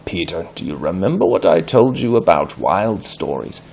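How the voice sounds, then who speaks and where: Guy reading as a strict village priest from a storybook, deep voice, measured, faint noise behind. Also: sing-song, creaky, darkish tone, heavily acted. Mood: stern. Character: stern, patient village priest.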